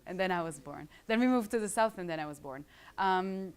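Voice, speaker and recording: A woman's voice: on the low side, lively intonation, a little fast, clean tone. A woman in her thirties with an Israeli accent, a startup founder giving a casual, joking talk to a live audience of students. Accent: Israeli, with some English schooling. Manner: chatty, joking asides to the audience.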